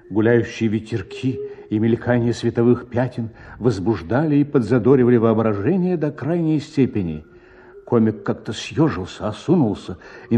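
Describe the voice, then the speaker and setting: A man's voice: mid-range voice, warm tone, husky. A man narrating a literary short story for radio in a dramatic storytelling style. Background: music.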